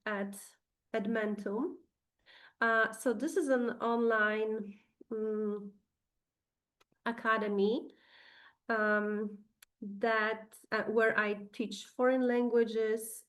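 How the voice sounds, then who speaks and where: A woman with a Polish accent, whose first language is Polish, giving an online webinar presentation over a video call, pausing often with ums and uhs. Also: medium pitch, a bit bright. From Poland. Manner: hesitant, many ums and uhs.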